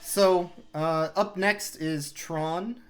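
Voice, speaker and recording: A man's voice: high-pitched, steady tone, very slow, soft background music. A man giving casual, rambling, self-mocking commentary while he plays through a speedrun live.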